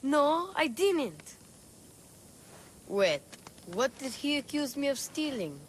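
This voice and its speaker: An actress voicing an eager, excitable young child full of questions in a video game cutscene. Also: female voice, sits high, slow, a little hiss or hum, heavily acted. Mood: defensive. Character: eager young child asking after missing father.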